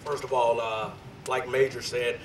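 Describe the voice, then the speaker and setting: A man in his forties whose voice is a little hoarse speaks loosely and energetically, full of fillers, as he addresses an outdoor ceremony. His voice reaches the mic from a distance, with echo. Faint background noise sits underneath. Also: medium pitch, little pitch movement, measured pace. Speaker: sergeant major giving a history talk. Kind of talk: ceremonial speech.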